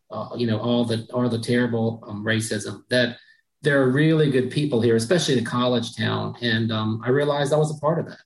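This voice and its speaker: A woman's voice: rumbling low, rough recording. Reflective woman on a panel. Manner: reflective, frequent ums. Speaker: author on a panel. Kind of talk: panel discussion.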